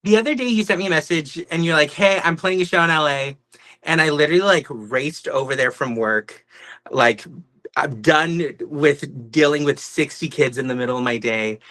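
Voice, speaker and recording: A man's voice: on the high side, moderate pace, sharp tone, dry recording. Casual, enthusiastic man hosting, dry close sound. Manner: chatty, enthusiastic, slangy. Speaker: podcast host. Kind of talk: casual music show hosting.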